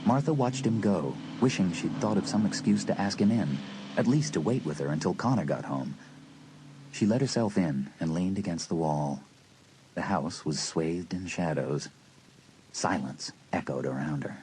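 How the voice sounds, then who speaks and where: Deep-voiced male horror narrator. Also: animated, moderate pace, warm tone, low-quality recording. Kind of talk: scripted horror audiobook narration. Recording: audiobook tape with faint noise.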